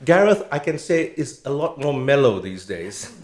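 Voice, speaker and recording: A man's voice: mid-range voice, sing-song, moderate pace, darkish tone. A middle-aged man giving a formal speech of introduction as a host, telling anecdotes along the way. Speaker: host introducing a guest lecturer. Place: indoor public lecture event. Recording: roomy, echoing event venue sound.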